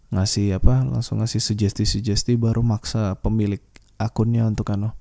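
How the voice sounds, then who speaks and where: A man talks through a loose solo podcast monologue. His voice sits low, with a heavy creak and a mellow tone. He speaks informally and saltily, hesitating as he thinks aloud. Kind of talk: casual rambling monologue.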